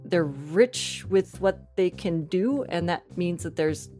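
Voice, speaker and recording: A woman's voice: on the low side, lively intonation, takes it slowly, dark tone, soft background music. A woman talking casually and enthusiastically as a guest in an interview. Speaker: interview guest, podcast writer-producer.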